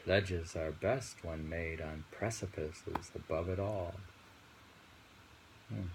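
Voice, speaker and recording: A man reading poetry aloud and commenting informally, in a deliberate, musing, halting way. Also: sits low, vocal fry, background noise.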